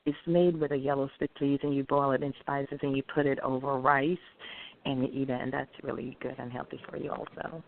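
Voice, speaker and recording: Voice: mid-range voice, little pitch movement, fairly quick, gravelly. A middle-aged restaurant owner from Guyana, answering interview questions in a friendly, chatty way. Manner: friendly, explains dishes at length with fillers. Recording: tinny phone-like line with faint hiss.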